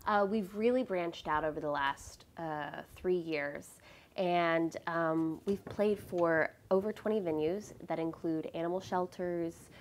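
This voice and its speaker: A young woman with a slightly low voice talks upbeat and chatty, scattering fillers as she explains. She is recorded on a lapel mic in a television studio. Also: unhurried. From Louisville, Kentucky. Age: young adult. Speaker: guest cellist, founder. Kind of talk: casual interview. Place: TV studio set.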